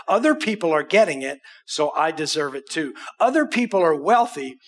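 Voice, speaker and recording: A man's voice: on the high side, fairly bright tone. An American man in his sixties preaching a conversational, emphatic sermon as a pastor, with rhetorical questions. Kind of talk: conversational sermon with acted examples. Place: church auditorium stage.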